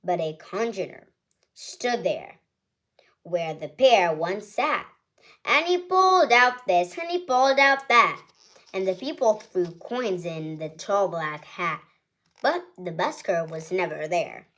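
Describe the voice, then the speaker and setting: Slow, expressive woman reading aloud. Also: mid-range voice. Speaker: children's story reader. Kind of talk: reading a picture book aloud.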